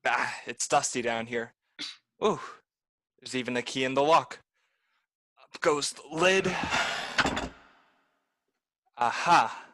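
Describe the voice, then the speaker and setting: A man's voice: mid-range voice, measured pace, pauses often, fairly bright tone, close to an everyday voice. A man voicing a greedy, scheming butler who bullies and mocks, in 1930s-style radio drama acting. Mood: scornful. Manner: bullying, mocking laughter. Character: greedy scheming male butler, harsh and scornful.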